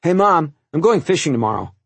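A man's voice: on the high side, very animated, a little fast, gravelly, husky, dry recording, voice pushed up, full character voice. A man voicing a rude, sulky fifteen-year-old boy who snarls his lines. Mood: surly. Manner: snarling, surly.

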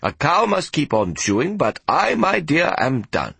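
A man reading an audiobook, voicing an old grandfather slowly reciting a teasing rhyme. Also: on the high side, wildly swooping pitch, creaky, fairly bright tone, slightly rough, no echo, pitched above the performer's own voice, full character voice. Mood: playful. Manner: slow, playful reciting.

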